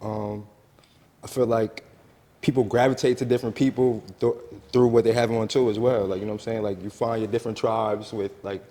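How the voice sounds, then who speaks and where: A man's voice: on the low side, little pitch movement, fairly quick, mellow. A man from New Jersey, a brand owner and stylist, talking casually and hesitantly, with many fillers. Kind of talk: casual interview chat. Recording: long reverb in the room.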